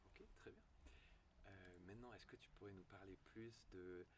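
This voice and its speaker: A man conducting an informal interview, asking open questions in a halting way. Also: deep voice, fairly even intonation, crowd noise. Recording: clearly noisy ambient background.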